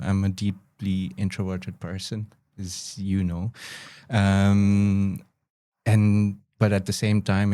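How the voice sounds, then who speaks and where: Guy on an interview podcast, lowish voice, reflective, measured and a bit hesitant. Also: dark tone. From Bangladesh. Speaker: podcast guest, photographer, educator, researcher.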